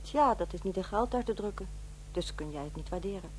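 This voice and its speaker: Contrary wife acted by a woman, Netherlands Dutch, highish very lively voice, pointed delivery, radio drama with audible hum. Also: brisk, lightly acted. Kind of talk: radio play dialogue.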